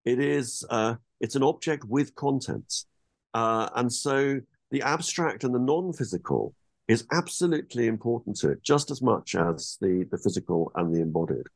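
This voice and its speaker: Husky low-voiced guy, thinks out loud, lots of false starts, dry close sound. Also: normal speed, no room sound. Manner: thoughtful, hesitant with many restarts. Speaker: author being interviewed. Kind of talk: academic interview.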